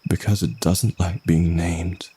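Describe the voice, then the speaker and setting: A man voicing a sad, wary woman cashier who gives ominous, hushed warnings in a horror story. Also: low voice, fairly even intonation, slow pace, husky, full character voice. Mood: grave warning.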